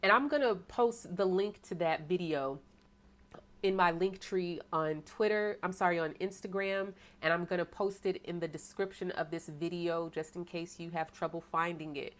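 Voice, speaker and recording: An American woman with a deep voice giving a tarot sermon, preaching and asking "right?" again and again. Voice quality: self-described deep voice. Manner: preachy, rhetorical, asks "right?" often, bursts of fast talk. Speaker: tarot reader. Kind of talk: tarot sermon to an online audience.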